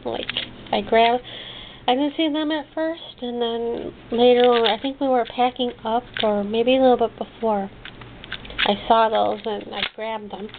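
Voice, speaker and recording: A woman giving a casual, halting show-and-tell of her craft haul, trailing off and rephrasing as she goes. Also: on the high side, steady tone. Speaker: hobby crafter showing purchases. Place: indoors at a desk. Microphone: handheld camera's built-in microphone. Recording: muffled phone-like sound, clicky background noise.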